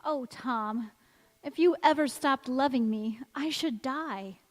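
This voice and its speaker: Lady acting a sweet young bride in an old radio play, high voice, dramatic and earnest, hall echo. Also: takes it slowly. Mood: anxious, romantic. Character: sweet, sentimental young bride-to-be, romantic and anxious. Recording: echoey church hall stage recording.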